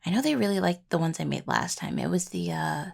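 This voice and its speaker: Woman doing a young necromancer healer in an audio rp, lowish voice with tons of gravelly fry, sweet but anxious, hesitant and rambly. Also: huge pitch swings, a little fast, darkish tone, full character voice. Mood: playful, chatty. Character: young necromancer healing student, anxious, sweet, affectionate partner. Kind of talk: intimate roleplay audio acting, casual.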